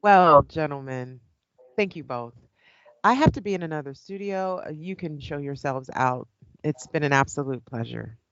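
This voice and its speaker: A woman's voice: deep voice, expressive, moderate pace, no echo, lo-fi sound. An actress playing a polite, professional female talk show host in an audio play. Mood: polite, hurried.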